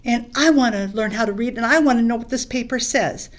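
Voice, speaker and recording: A curious schoolboy, as a woman storyteller puts him on, speaking earnestly and insistently. His voice sits a little high and stays firm and sincere. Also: fairly quick, natural voice. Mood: determined.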